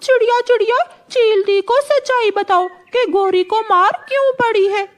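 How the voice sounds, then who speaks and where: A woman's voice: extremely high pitch, moderate pace. A woman voicing an indignant, emphatic parrot in a children's cartoon story. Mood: alarmed. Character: loud indignant parrot, bird friend.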